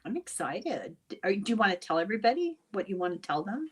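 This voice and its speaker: A woman hosts an informal conversation in a medium-pitched voice. She talks casually, as in an everyday chat with a guest. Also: big pitch swings, quick pace, breathy edge. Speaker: host.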